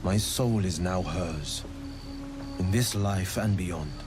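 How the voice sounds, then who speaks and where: An actor playing an earnest, haunted monster hunter in a video game, speaking in a measured, solemn, confessional way. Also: male voice, low voice, over music. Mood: rueful. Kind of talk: dramatic video game monologue.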